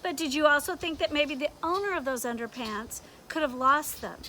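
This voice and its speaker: A woman's voice: high-pitched, moderate pace, sharp tone, a little hiss or hum, poor audio. A woman voicing a stern, mocking conscience that scolds and interrogates, in a storybook read-aloud. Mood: mocking scolding. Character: scolding, bossy conscience, a voice inside the head.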